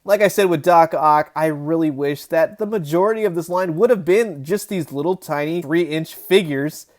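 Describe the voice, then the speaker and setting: Man, thirties, slightly high voice, casual review host, chatty, emphatic, enthusiastic. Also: normal speed. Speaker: toy review video host.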